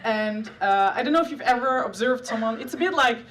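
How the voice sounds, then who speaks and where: A woman's voice: fairly high pitch, expressive, a little fast, fairly bright tone, distant mic, a little hiss or hum. A woman in her thirties from the Netherlands with a Dutch accent, performing a live stand-up set about her science work in a dry, self-deprecating way with frequent filler sounds. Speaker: neuroscientist doing stand-up. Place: stage at a live comedy show.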